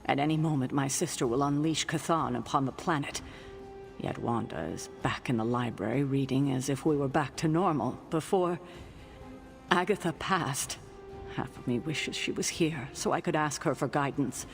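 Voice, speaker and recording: An actress playing a troubled, grieving heroine in a video game cutscene, speaking formally with weary self-reproach. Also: female voice, low voice, normal speed, creaky. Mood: anxious, grieving. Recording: music behind the voice.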